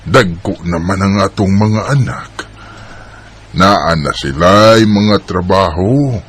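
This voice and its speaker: A man's voice: deep voice, takes it slowly, a bit bright, a little hiss or hum. A man playing an affectionate, stubborn elderly husband and father in a sentimental radio drama, with a Filipino Bisaya accent and an emotive delivery. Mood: gently chiding. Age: older. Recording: music under the voice.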